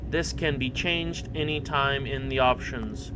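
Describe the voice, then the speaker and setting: A man's voice: medium pitch, fairly even intonation, measured pace. A man live-streaming a game, casually commenting and reading on-screen text aloud. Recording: loud noisy background.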